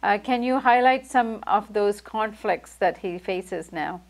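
A middle-aged woman in a news studio putting prepared questions to a guest in a measured way. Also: medium pitch. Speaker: news anchor.

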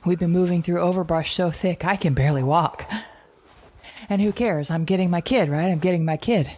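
A woman playing an anxious, defiant survivor mother, low-pitched. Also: brisk, darkish tone, slight background noise, lightly acted. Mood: agitated, anxious. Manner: fretful, self-questioning, fragmented, repeating herself. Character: anxious, defiant mother surviving a wild post-apocalyptic world. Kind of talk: audio drama monologue, recorded-journal narration.

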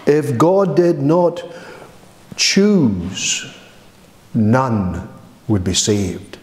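A middle-aged male minister preaching a sermon from a lectern, measured and solemn, building emphasis through repeated phrases. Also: medium pitch, mellow, roomy sound. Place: church hall, indoors.

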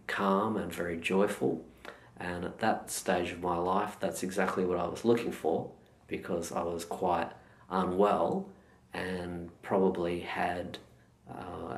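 A middle-aged Australian man with a low voice speaks unhurriedly and thoughtfully, as a guest telling his story. The sound carries an echo from the room around him. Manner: slow, measured and thoughtful. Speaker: meditation teacher, guest. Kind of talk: reflective interview answers. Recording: echoey room.

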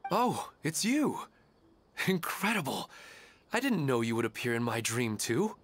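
A man playing a kindly, wistful father in a video game, earnest and gentle. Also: medium pitch, big pitch swings, a little slow, no room sound. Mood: pleased, wistful. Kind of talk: game voice acting.

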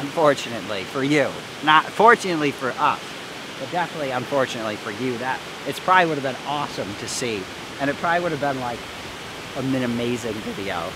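A man's voice: mid-range voice, animated, quick pace, background noise. A young man hosting an outdoor exploring vlog, joking and mock-dramatic as he rambles through the woods, recorded on a camera mic outdoors. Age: young adult. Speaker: host. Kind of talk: outdoor hiking vlog with voice-over narration. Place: wooded hillside outdoors.

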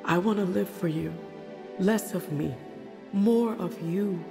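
An actress playing an earnest, troubled middle-aged pastor's wife in a confessional manner for an audio drama. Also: female voice, medium pitch, slow pace, gravelly, over music. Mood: pleading, repentant.

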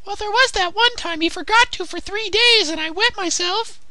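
A man voicing a prickly, devoted young female warrior in a matter-of-fact falsetto for a comedic anime parody. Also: normal speed, pushed and bright, exaggerated voice.

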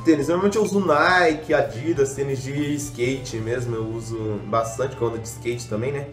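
A man's voice: medium pitch, normal speed, roomy sound, soft background music. A young adult man giving casual, rambling gameplay commentary, full of slang and self-mocking jokes, a little congested from a cold. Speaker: gaming youtuber.

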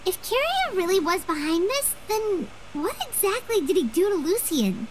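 Squeaky, very high little fairy girl. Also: normal speed, very noisy. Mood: pitying, puzzled. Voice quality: squeaky, childlike. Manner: earnest, expressive. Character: tiny childlike fairy girl companion, earnest and kindhearted. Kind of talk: anime-style game voice acting.

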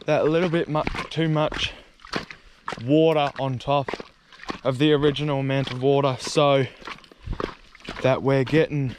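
A young man with an Australian accent narrating a casual fishing vlog in a laid-back, halting way. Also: on the high side, slight background noise. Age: young adult. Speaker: fishing vlogger. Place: outdoors on a grassy riverbank. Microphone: body-worn or handheld action camera.

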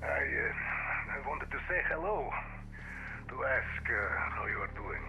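A man playing a middle-aged father in a video game, grave and halting, guarded yet protective of his daughter. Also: mid-range voice, huge pitch swings. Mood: awkward. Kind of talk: dramatic video game cutscene acting. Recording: music or noise behind.

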